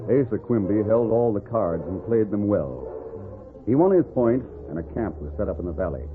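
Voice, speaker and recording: A man's voice: fairly low pitch, expressive, moderate pace, music in the background. A man giving dramatic scripted narration in the manner of 1940s radio. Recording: noisy, tinny old radio recording.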